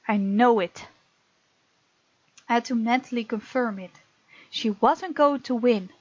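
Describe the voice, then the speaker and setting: A woman's voice: fairly high pitch, no echo, lo-fi sound. A woman hosting a let's play, reading game text aloud and reacting casually and expressively. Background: faint game music.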